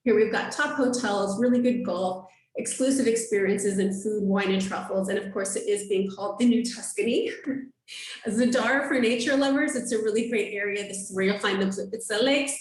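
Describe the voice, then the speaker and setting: A woman's voice: medium pitch, normal speed, roomy sound, recorded from a distance, rough recording. A woman giving an upbeat travel presentation on a video call, with frequent ums and uhs. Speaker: tour operator presenter. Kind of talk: webinar sales presentation.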